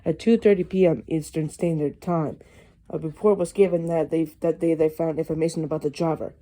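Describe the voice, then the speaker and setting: Dark-voiced amateur emergency alert announcer. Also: mid-range voice. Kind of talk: amateur read-aloud mock emergency broadcast.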